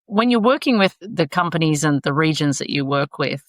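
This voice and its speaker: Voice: medium pitch, animated, fairly quick, a bit bright, dry recording, clean studio sound. A podcast host asking questions in a friendly, conversational way. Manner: friendly, conversational, laughs along. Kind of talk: podcast interview.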